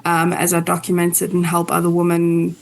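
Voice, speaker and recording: A woman's voice: fairly low pitch, monotone, a little fast, gravelly, a little hiss or hum. A woman in her forties with a South African accent, chatting easily as a new podcast co-host. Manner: chatty, laughs easily, many ums. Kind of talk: casual podcast chat.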